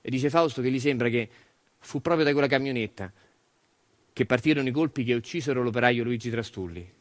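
A man's voice: mid-range voice, brisk, lightly acted. An actor playing a retired factory worker with a Roman accent, telling his story in a colloquial, repetitive theatrical monologue addressed to his mother. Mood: insistent.

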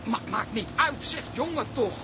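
Vain, theatrical older woman, high and swooping. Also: expressive, moderate pace, noisy background. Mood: indignant, haughty. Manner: pompous, indignant, fussy. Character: vain, self-important older woman, theatrical would-be actress. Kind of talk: Dutch radio play acting.

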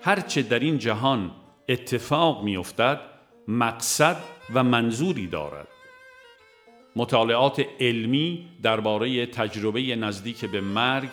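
A man reads a scripted audiobook in a measured, formal manner. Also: mid-range voice, animated, a bit bright, soft background music. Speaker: audiobook narrator.

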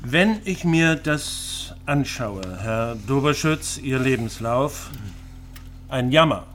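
An actor playing a condescending East German personnel official who lectures with false concern in a radio drama. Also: male voice, mid-range voice, expressive, fairly bright tone, slight background noise. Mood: patronizing. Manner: deliberate, condescending.

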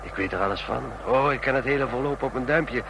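An actor in a Dutch radio drama playing a chatty, smug train passenger obsessed with spy stories, talking in a knowing, needling way. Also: male voice, fast, close to an everyday voice. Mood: smugly chatty. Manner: talkative, knowing, needling with rhetorical questions. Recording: noisy radio play with vehicle rumble.

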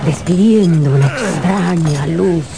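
A woman narrating a scripted radio drama in a measured, expressive, theatrical storytelling manner. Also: lowish voice, vocal fry, noisy background.

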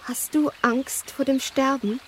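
An actress playing an enigmatic young noblewoman in a radio drama, cryptic and insistent, with a breathy, sometimes hushed voice. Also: high voice, steady tone, measured pace, a little hiss or hum. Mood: intimate, probing. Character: Camilla, enigmatic young noblewoman with hidden ancient past. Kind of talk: scripted German radio drama acting.

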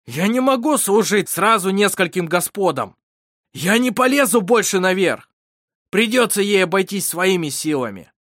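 A reader voicing a stubborn, bitter male servant who shouts and hisses defiantly in an audiobook. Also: medium pitch, expressive, a little slow, no room sound, clean studio sound, pitched above the performer's own voice. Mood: bitter defiance. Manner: hissing, shouting.

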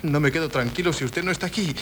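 An actor playing a nervous, flustered husband in a 1970s radio drama, hesitant and pleading. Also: male voice, a little high, fast talker, a bit bright, natural voice. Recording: old radio broadcast with faint hiss.

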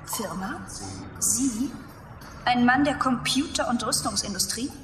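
An actress playing a persistent journalist in a German radio drama, insistent and questioning. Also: female voice, fairly high pitch, measured pace, natural voice. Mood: probing. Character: persistent, probing female journalist. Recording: roomy, music underneath.

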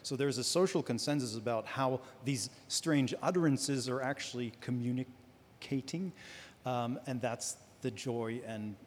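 A man's voice: medium pitch, measured pace, slight background noise. A man whose first language is Hebrew, speaking English on a panel in a searching, academic way with many restarts. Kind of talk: reflective panel discussion. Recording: long hall reverb.

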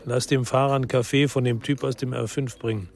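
A man's voice: mid-range voice, little pitch movement, a little fast, darkish tone, natural voice. An actor playing a world-weary male ex-policeman who narrates his own crime story in a sober, laconic way for radio drama. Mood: terse.

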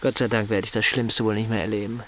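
An actor in a radio drama playing a proud, bitter, paralysed upper-class husband, speaking in an articulate, sardonic, condescending way. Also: male voice, on the low side, little pitch movement, fast, fairly bright tone, lightly acted. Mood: scornful, gloomy. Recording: chirping insect noise behind the voice.

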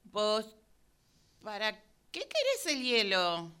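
A woman acts out a nosy Rioplatense neighbor woman in a radio play, wary of the girl upstairs. Her voice is bright and smooth, slow-paced and swooping up and down, and she mutters asides to herself. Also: mid-range voice, huge pitch swings, heavily acted. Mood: suspicious. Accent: Rioplatense Spanish. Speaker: radio drama actor. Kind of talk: amateur radio drama acting.

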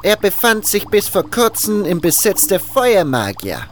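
An actor playing a haughty, fanatical cult guru and alchemist in an audio drama, hissing and drawing out words with contempt. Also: male voice, high-pitched, wildly swooping pitch, unhurried, heavily acted. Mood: impatient, condescending.

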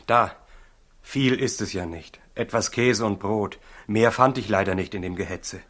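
Husky, eager shepherd. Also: male voice, fairly low pitch, a little slow, a bit bright. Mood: admiring. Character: eager, awed, polite poor shepherd. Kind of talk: 1960s German radio play acting.

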